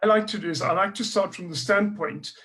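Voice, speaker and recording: A man's voice: high-pitched, a little fast, bright, forceful tone. A middle-aged man with a South African accent, a historian speaking as a guest in a panel discussion, earnest and thoughtful, often restarting his phrases. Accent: South African English.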